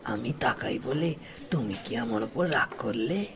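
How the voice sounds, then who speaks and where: Man playing a hushed, tender old grandfather. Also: medium pitch, expressive, soft background music, pitched below the performer's own voice. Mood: tender, wistful. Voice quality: hushed, breathy near-whisper. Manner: soft, halting, affectionate. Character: gentle, tender old grandfather in his seventies. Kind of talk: audio story character acting.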